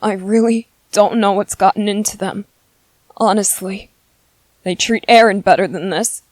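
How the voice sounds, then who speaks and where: A woman voicing a gentle, apologetic young male soldier in an ASMR roleplay, soft-spoken and earnest, with halting pauses. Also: mid-range voice, fairly even intonation, no room sound, close to an everyday voice. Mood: distressed, sympathetic. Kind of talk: ASMR roleplay audio drama.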